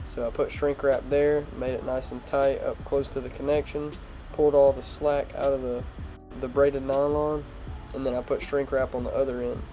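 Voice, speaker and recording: A man's voice: mid-range voice, normal speed. A young man with a stuffed-up nose, casually explaining a truck project in a home garage vlog with voiceover. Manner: relaxed, plain explanatory talk with fillers. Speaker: automotive vlogger. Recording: music playing behind.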